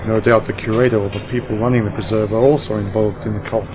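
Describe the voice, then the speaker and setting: A man's voice: fairly even intonation, fast talker, lightly acted. A man acting a wry, skeptical sci-fi uncle, noisy recording. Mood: dry skepticism. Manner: dry, eccentric, self-amused. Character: wry, skeptical uncle, a travelling scientist-adventurer. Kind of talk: amateur sci-fi radio play acting. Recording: noisy home-made radio play, vehicle-like rumble.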